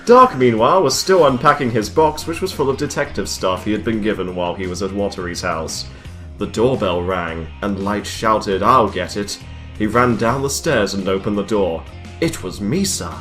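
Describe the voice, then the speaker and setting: A man's voice: fairly low pitch, expressive, normal speed, faint music behind. A man hosting a comic read-aloud of a fan story, narrating and breaking off with mocking asides. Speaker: reader, host. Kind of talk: comic dramatic reading.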